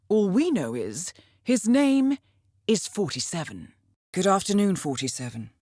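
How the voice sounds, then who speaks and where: An actress playing a composed, coolly wry intelligence handler in a video game, giving formal, measured, dryly understated mission briefings. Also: female voice, medium pitch, no echo, studio quality. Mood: composed, businesslike.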